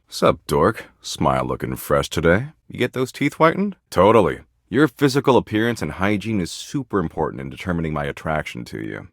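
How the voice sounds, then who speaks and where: Deep-voiced, deadpan nihilist slacker. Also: male voice, gravelly, no echo, studio quality, full character voice. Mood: snarky, deadpan. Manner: deadpan, flippant slacker slang. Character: apathetic nihilistic male slacker, morbid, snarky dating-game character. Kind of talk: video game character dialogue.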